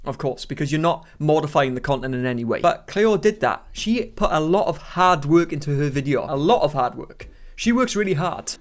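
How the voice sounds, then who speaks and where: A young man with a British accent, giving sarcastic, exasperated commentary in a vlog from his bedroom studio. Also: on the high side. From United Kingdom. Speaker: commentary YouTuber.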